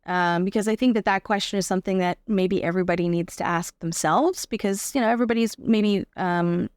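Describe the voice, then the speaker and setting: A woman speaking as an interview guest, giving a personal account in a reflective, measured and explanatory conversational way. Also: mid-range voice, a little mellow, clear, resonant tone, no echo, studio quality. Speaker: podcast guest, actor and advocate.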